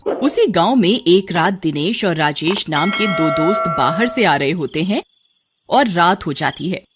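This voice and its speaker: Lively cartoon story narrator. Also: medium pitch, unhurried, background noise. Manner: steady storytelling.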